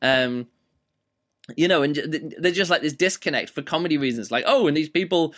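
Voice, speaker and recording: Slightly rough-voiced man rambling casually. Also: on the high side, moderate pace, fairly bright tone. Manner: rambling, full of fillers and restarts. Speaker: book reviewer. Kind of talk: casual spoken book review.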